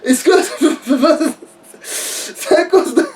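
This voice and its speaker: A man with a strikingly high voice talks through a game in a casual, crude way. He swears freely and rants in mock outrage. He sounds set back from the microphone. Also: very slow. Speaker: let's player. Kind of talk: casual gaming chat. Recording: voice distant from the mic.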